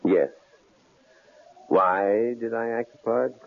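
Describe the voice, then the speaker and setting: An actor playing a shrewd, calm middle-aged psychology professor who posed as a killer, in an old radio drama, with a very deep, gravelly voice. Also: male voice. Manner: calm, measured, dryly amused. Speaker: university psychology department head. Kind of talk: 1950s-70s radio drama acting. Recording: tinny, lo-fi old radio recording with faint hiss.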